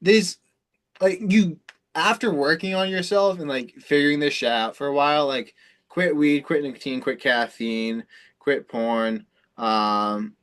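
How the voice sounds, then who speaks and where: A man's voice: a little high, steady tone, measured pace, a bit bright, clear, resonant tone. Rambling man in casual talk. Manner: rambling, informal, frequent swearing. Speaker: host of a self-improvement conference call. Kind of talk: casual, unscripted explanation on a call.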